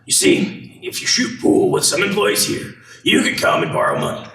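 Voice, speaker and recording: A sharp, gravelly old businessman villain of a radio play, acted by a young man. He sneers and blusters imperiously, recorded away from the mic in a roomy space. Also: on the high side, wildly swooping pitch, moderate pace, heavily acted. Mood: scornful. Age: young adult. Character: warped, frustrated, scornful old businessman villain. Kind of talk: amateur radio play acting. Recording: roomy echo, distant mic.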